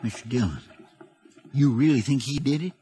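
An actor playing an earnest, thrifty frontier deputy in a 1950s radio western, with a folksy drawl. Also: male voice, medium pitch, moderate pace, warm tone, breathy edge.

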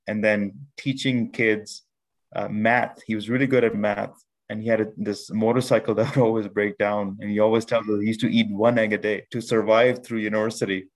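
Measured, lower-voiced man from Pakistan. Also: poor audio. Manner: measured, with frequent restarts and fillers. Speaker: podcast guest. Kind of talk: reflective storytelling interview.

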